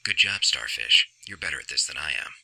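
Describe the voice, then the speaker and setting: A gruff veteran soldier speaks in an extremely deep, husky growl. He is terse and deadpan, with dry humor and a hard, forceful edge, in a radio drama. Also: brisk, heavily acted, rough recording. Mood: dry teasing. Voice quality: gravelly, husky.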